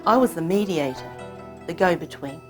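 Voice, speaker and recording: A woman raised in Hong Kong whose first language is Cantonese, telling her family's story in measured, reflective scripted narration. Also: fairly low pitch, expressive, music in the background. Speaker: narrator of her own short film.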